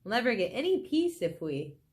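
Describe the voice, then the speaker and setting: A woman's voice: on the high side, sing-song, a little fast, a little mellow. A woman reading a children's storybook, voicing a determined, commanding father fox. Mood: glum, brooding. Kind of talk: children's storybook read aloud.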